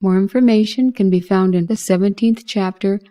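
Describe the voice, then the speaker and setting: A woman narrating a scripted Bible history lesson in a measured, formal reading manner. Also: medium pitch, dark tone.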